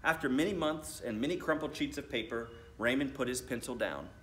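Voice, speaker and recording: An American man, a school principal in his thirties, reading a storybook aloud to children with deliberate phrasing and addressing them directly. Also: medium pitch, a bit bright, a little hiss or hum. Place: school library, indoors.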